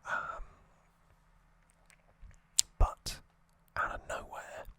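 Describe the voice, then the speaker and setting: An ASMR host speaking in a gentle, halting, unvoiced whisper throughout. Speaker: host of an anime trivia channel.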